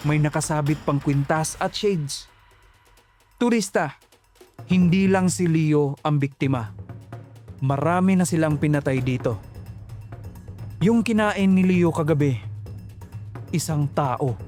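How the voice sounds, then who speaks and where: A man's voice: on the high side, slow, pauses often, a little mellow, faint music behind. A man narrating a first-person horror story in a dramatic, suspenseful manner.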